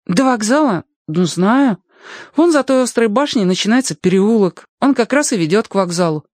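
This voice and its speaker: A man's voice: high-pitched, expressive, normal speed, no echo, studio quality, pitched above the performer's own voice. A man voicing an eager, chatty young boy in a children's audiobook tale. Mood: helpful. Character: lively, eager young boy, trusting storyteller. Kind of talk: acted audiobook dialogue.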